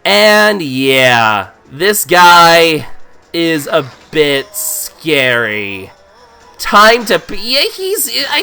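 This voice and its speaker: A man with a cold, hoarse and nasal, giving casual, joking commentary as he plays a game. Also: sits high, pushed and bright. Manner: playful, jokey, thinks aloud. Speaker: let's play host.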